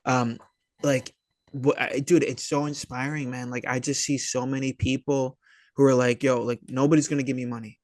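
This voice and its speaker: Laid-back-talking man, halting and slangy, close dry sound. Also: mid-range voice, flat delivery, no room sound. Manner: slangy, hesitant, halting delivery peppered with dude and man. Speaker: filmmaker and actor, interview guest. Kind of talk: casual chat.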